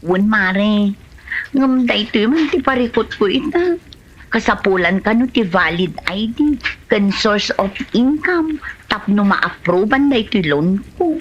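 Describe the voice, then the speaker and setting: A woman's voice: medium pitch, expressive, slow, highs cut off, a little hiss or hum. A woman playing an aggrieved village snack seller in a comedy drama, with an Ilocano accent. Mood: earnest, troubled. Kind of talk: Filipino comedy drama dialogue.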